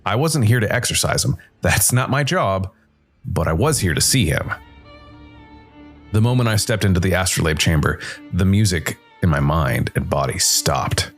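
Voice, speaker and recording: A man narrating a scripted first-person noir story as a world-weary supernatural detective, brooding and dramatic, with deliberate, weighted pauses. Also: low-pitched, heavily acted. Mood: ominous, grave. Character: world-weary supernatural noir detective, male jazz musician working for Death.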